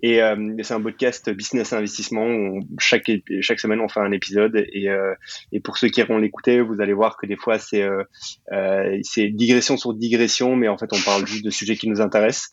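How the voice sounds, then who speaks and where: A young man gives a casual interview in a fairly low voice. He speaks informally and drops in English jargon. The recording is dry and slightly dull, with little room sound. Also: little pitch movement, a little fast, no echo. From Belgium and Sicily. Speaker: podcast guest, startup programme manager.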